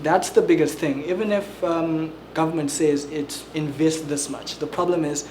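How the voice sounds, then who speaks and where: Guy in his 20s, earnest panel talk, kinda higher voice, lots of ums. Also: steady tone, normal speed, crowd noise. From South Africa. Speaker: activist and social entrepreneur. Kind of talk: panel discussion. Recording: noisy ambient background.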